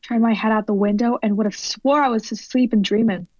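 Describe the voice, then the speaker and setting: A woman's voice: on the high side, fairly even intonation, a little fast, darkish tone, no echo, close to an everyday voice. A woman playing a rattled, talkative rural farm owner with a folksy, rambling manner in a radio play. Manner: folksy rustic drawl, rambling. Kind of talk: radio drama acting. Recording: dull, dry lo-fi audio.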